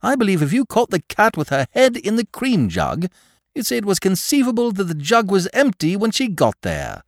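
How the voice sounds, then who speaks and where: A man reading aloud, voicing a flippant, excitable aristocratic amateur detective. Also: normal speed, dry recording, studio quality, exaggerated voice. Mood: exasperated. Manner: flippant, theatrical, high-spirited.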